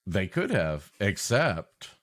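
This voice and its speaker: A man's voice: sits low, very animated, slow, a bit bright. A man co-hosting a comedy podcast, bantering playfully in casual chat and often laughing.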